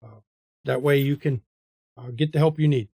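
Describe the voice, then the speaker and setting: A man's voice: mid-range voice, fairly even intonation, normal speed, mellow, breathy edge, no echo, clean studio sound. A man with an American accent hosting a game stream in a casual, chatty style. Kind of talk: casual chat and stream outro.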